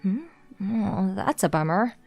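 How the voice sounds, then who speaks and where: A woman's voice: medium pitch, animated, slow pace, gravelly, faint music behind, heavily acted. A young woman playing a confident, pushy female CEO who teases her junior in a manga dub romance. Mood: tipsy, sulky. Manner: straightforward, teasing, self-assured.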